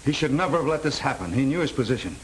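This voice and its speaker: An American actor in middle age playing a smooth, protective, troubled man in a 1930s film drama, speaking earnestly. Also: mid-range voice, expressive, racing, natural voice, low-quality recording. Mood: grave, resigned. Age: middle-aged. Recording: hissy 1930s film soundtrack.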